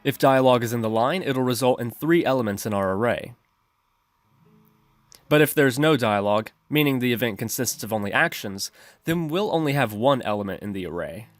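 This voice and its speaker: A man's voice: medium pitch, animated, brisk, no echo. A man narrating an instructional screencast as a tutorial host, explaining methodically step by step. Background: background music.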